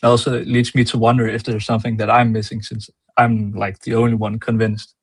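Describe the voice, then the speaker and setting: A caller from Denmark talks haltingly, padding his sentences with fillers while he hunts for words. His voice is low and husky, and it stays flat and even in pitch. The sound is dry, with no room echo. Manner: hesitant, many fillers, searching for words. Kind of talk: casual call-in conversation.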